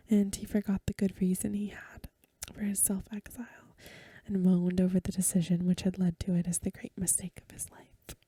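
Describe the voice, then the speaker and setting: A woman ASMR host reading a story in a gentle, hushed, breathy whisper, close to the microphone. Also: mid-range voice, no echo.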